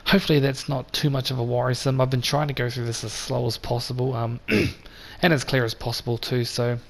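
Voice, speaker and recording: A man with a slightly croaky voice hosting a let's play, chatting casually and addressing viewers directly. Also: medium pitch, brisk. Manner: relaxed and chatty, talks straight to viewers. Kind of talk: casual gameplay commentary. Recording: voice over game sound noise.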